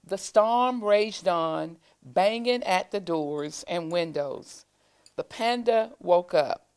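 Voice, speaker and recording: A woman reading a children's storybook aloud, with deliberate, expressive phrasing, as school staff do for young listeners. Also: lowish voice, smooth. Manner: deliberate, expressive phrasing with pauses to show pictures.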